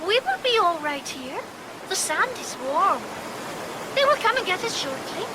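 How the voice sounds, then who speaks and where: A woman's voice: very high voice, big pitch swings, moderate pace, noisy background. A woman playing a bold, fanciful young girl in a period radio drama, with a precise, prim and cheeky manner. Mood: assured, cheeky. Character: bold, fanciful young girl speaking for her silent mother.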